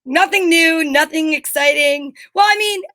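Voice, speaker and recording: A woman's voice: high voice, moderate pace, bright, forceful tone, no room sound, clean studio sound. An upbeat, enthusiastic woman chatting casually as co-host of a live online comedy show.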